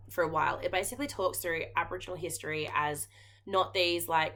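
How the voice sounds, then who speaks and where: An Australian woman talks to camera in a relaxed, earnest way, her speech full of ums and likes. Her voice sits fairly low, with a bright, forceful edge. She sounds like a teacher sharing what she cares about. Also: creaky. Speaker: knitting podcast host and geography teacher. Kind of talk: casual chat to camera.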